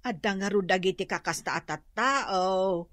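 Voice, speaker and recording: A woman's voice: medium pitch, normal speed, fairly bright tone, dry recording, natural voice. A woman playing a patient older mother giving sighing advice in an Ilocano radio drama. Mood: resigned advice.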